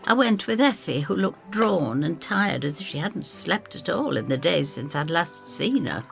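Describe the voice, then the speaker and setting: A motherly landlady, played by a woman, narrates an audio story. Her voice is low, creaky and very expressive in pitch. She is homely, wry and confiding in how she tells it. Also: normal speed, faint music behind. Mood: storytelling, intrigued. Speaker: first-person narrator. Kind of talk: dramatised scripted storytelling.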